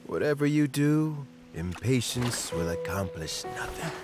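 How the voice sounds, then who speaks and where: A man voicing a patient, kindly elderly mentor in a video game, speaking in an unhurried, philosophical, avuncular way. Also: mid-range voice, animated, lightly acted. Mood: calm, wise. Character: patient, kindly elderly male mentor who loves fishing. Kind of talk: scripted game voice acting. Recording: music clearly behind.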